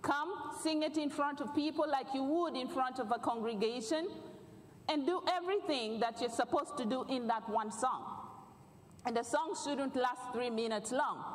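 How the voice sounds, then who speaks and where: A middle-aged woman from Uganda with a Ugandan accent, preaching and praying fervently from a large church stage. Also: high voice. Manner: fervent, repetitive praying cadence. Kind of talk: prayer and sermon. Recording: echoey church hall.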